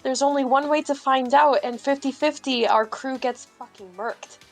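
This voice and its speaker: A woman's voice: high-pitched, moderate pace, gravelly, faint music behind. A woman playing a tabletop game, talking through it in casual, informal, sweary chat.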